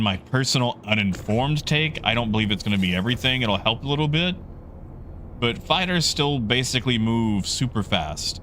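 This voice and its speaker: A man's voice: on the low side, a bit bright, slight background noise. A man hosting a livestream, chatting casually and in a rambling, conversational way with his viewers. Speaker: livestream host.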